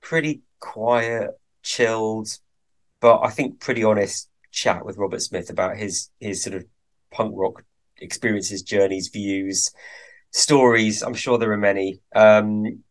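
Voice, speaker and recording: A man's voice: fairly low pitch, fairly even intonation, a little slow. A man co-hosting a podcast, chatting casually with a hesitant, rambling manner and many fillers. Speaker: podcast co-host.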